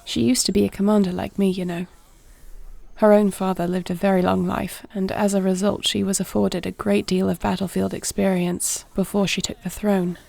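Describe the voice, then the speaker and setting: Woman acting a low-key, creaky-voiced warrior princess. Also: little pitch movement, a little mellow, dry recording. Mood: reflective. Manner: formal, measured, tender diction. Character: gentle, dignified warrior princess and shapeshifting commander, softly confiding. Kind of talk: intimate fantasy audio roleplay.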